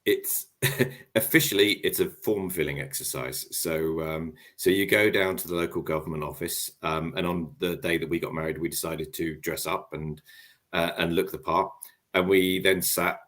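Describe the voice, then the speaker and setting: Low-voiced man telling a story haltingly. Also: fairly even intonation, a bit bright. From expat living in Japan. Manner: halting, many ums and restarts. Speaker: guest panelist. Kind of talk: casual storytelling on a live web panel.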